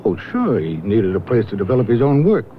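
A man's voice: fairly low pitch, wildly swooping pitch, brisk. An actor playing a gossipy, knowing man with a wry, theatrical, emphatic manner in a 1970s radio drama. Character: gossipy, knowing man sizing up an oddball acquaintance. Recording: tinny, band-limited old radio recording with hiss.

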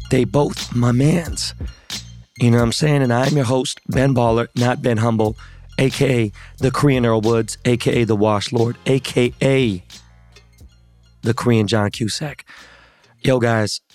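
A hoarse man hosting a podcast in casual chat, talking in slangy, profane, hyped-up street style. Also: medium pitch, a little mellow. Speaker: podcast host.

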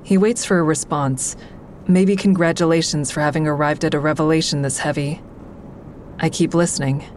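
Low-voiced, wry woman actor over noisy rumble. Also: steady tone, a little mellow, natural voice. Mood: wry, watchful. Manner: measured, coaxing, dryly frank. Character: calm, wry woman taking crisis calls, narrating her thoughts. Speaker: narrator and crisis-line listener. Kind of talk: full-cast audio drama, first-person narration and dialogue.

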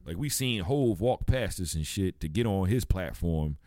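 A man's voice: low-pitched, animated, normal speed, mellow, dry recording, studio quality. A man, co-hosting a casual podcast, telling stories animatedly and acting out crowd voices. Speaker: podcast co-host. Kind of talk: casual chat, storytelling.

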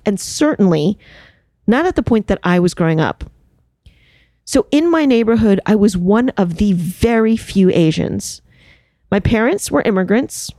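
Woman host, TV producer from the New York suburbs; relaxed, mellow, dry studio sound; wry and candid. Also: mid-range voice, dry recording. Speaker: podcast host; television producer. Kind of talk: reflective personal commentary.

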